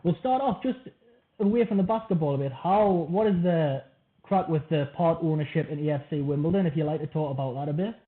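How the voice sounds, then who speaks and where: A man with a North East English accent hosts a relaxed podcast, chatting eagerly and informally. His voice sits a little high for a man. The sound is thin and phone-like, as if coming down a call line. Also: a little fast. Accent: Wearside/Tyneside, from Washington. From North East England. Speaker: podcast host. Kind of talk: casual podcast chat.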